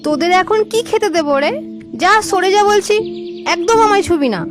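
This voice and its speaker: A woman's voice: extremely high pitch, animated, normal speed, music in the background. A woman voicing a snobbish female pilgrim in a cartoon, shrilly shooing children away. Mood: disgusted.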